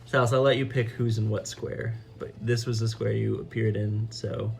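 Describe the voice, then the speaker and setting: A man's voice: lowish voice, level tone, moderate pace, vocal fry, darkish tone, a little hiss or hum. A man acting as game master, casually improvising narration for players around a table.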